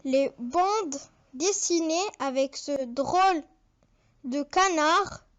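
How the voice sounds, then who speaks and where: Childlike video host, very high-pitched, informal countdown read in halting phrases with chummy asides to viewers. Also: fairly bright tone.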